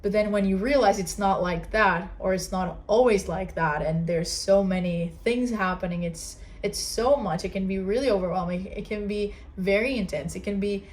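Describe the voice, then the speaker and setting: A woman's voice: medium pitch, normal speed, few pauses, darkish tone. A young woman with a Portuguese accent hosting a casual solo podcast, talking earnestly with many fillers and restarts. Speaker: podcast host.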